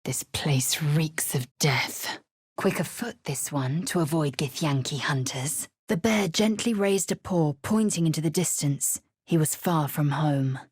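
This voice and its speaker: A woman playing a fierce, proud githyanki warrior in a video game, with a husky, raspy voice and a clipped, haughty, formal manner. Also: sits low, unhurried, dry recording, studio quality, heavily acted. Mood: solemn, brooding. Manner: clipped, haughty, imperious, formal archaic phrasing.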